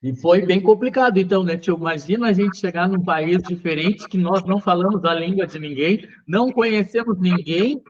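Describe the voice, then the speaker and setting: A man hosting an interview, speaking in a respectful, affirming way with drawn-out phrases. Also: high voice. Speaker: podcast host, interviewer. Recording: muffled lo-fi recording.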